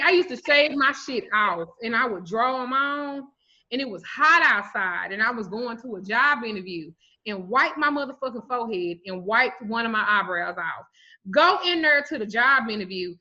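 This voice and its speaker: Blunt, profane woman in her forties. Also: high voice. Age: 40s. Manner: blunt, profane, animated storytelling. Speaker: spiritual reader and root worker. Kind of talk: casual livestream talk. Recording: muffled lo-fi stream audio.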